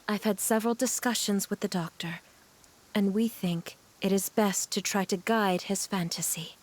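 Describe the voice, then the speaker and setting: A woman playing a gentle, resolute but sorrowful mother in a video game, speaking in a measured, earnest way. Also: medium pitch, fairly even intonation, close to an everyday voice.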